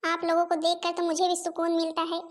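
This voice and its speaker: A woman's voice: very high voice, monotone, brisk. A woman voicing put-upon Indian daughters-in-law in a family comedy cartoon, with a complaining, pleading manner. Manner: complaining, pleading, addresses relatives and viewers directly.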